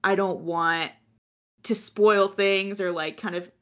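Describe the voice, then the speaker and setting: An American woman in her twenties with a low voice, co-hosting a podcast in casual, rambling chat. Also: steady tone, slow, a bit bright. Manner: chatty, laughing often, rambling. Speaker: podcast co-host.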